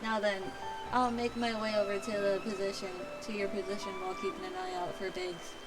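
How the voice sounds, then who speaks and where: A woman voicing a level-headed, caring engineer chief from a fantasy video game in a measured, matter-of-fact way. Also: medium pitch, flat delivery, over loud music, close to an everyday voice. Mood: steady, reassuring.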